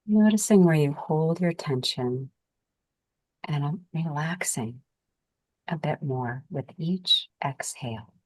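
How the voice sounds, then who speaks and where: A woman leading a guided meditation as its guide, speaking calmly and soothingly in gentle, unhurried phrases with pauses. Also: deep voice, no room sound.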